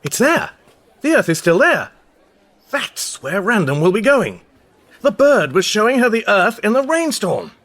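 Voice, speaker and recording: A man's voice: high voice, sing-song, unhurried, fairly bright tone, full character voice. A man playing a fussy, bewildered, exasperated ordinary Englishman lost in space in a radio comedy. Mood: anxious. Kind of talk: radio comedy drama acting.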